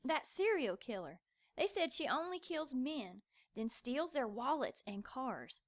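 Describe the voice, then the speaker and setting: An actress playing a chatty small-town diner waitress, friendly and gossipy. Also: female voice, high voice, big pitch swings, measured pace, full character voice.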